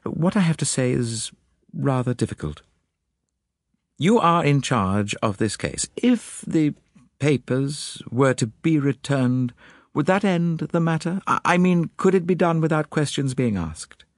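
Audiobook noblewoman acted by a male reader: high, breathy, secretive, hesitant yet urgent. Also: animated, unhurried, no echo, studio quality. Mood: nervous, guarded. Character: anxious, eager aristocratic lady, breathlessly secretive.

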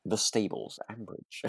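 Low-voiced, animated British man. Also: slow pace, vocal fry, slightly rough, no room sound. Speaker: podcast co-host. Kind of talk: relaxed chat discussing a radio drama.

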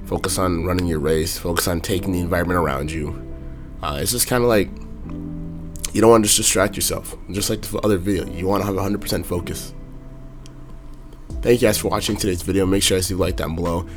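A young man vlogging casually about his warm-up habits, talking in a monotone, raspy voice with a sore throat. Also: deep voice, quick pace, faint music behind. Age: young adult. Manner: talks in loose runs with fillers. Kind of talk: casual ranting vlog.

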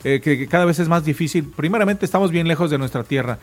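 A man's voice: fairly high pitch, fast talker. A man with a Mexican accent hosting a casual morning show chat. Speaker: radio show host.

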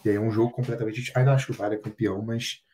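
A man's voice: lowish voice, fairly even intonation, racing, a crowd in the background. A Brazilian man co-hosting a podcast, chatting casually about football, joking and swearing a lot. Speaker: podcast co-host.

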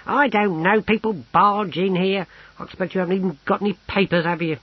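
A man's voice: sits high, fairly even intonation, fairly quick, breathy edge, no room sound, muffled recording, voice pushed up. A man voicing a skinny, officious little clerk who is harassed, peevish and impatient in an audiobook story.

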